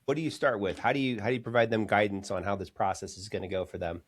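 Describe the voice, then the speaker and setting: A man's voice: fairly low pitch, fairly even intonation, quick pace. A man hosting a business podcast interview, friendly and casual, often restarting his questions. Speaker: podcast host.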